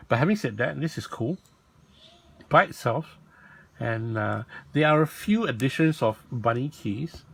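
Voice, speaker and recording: Man, raspy voice, rambling toy vlog host, fillers and restarts. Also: mid-range voice. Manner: rambling, self-correcting, lots of fillers. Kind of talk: casual rambling toy showcase.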